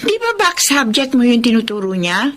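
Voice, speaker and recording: High-voiced young Filipina student. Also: female voice, big pitch swings, moderate pace, fairly bright tone. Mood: teasing. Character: young female college classmate, teasing, curious. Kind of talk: Tagalog radio drama acting.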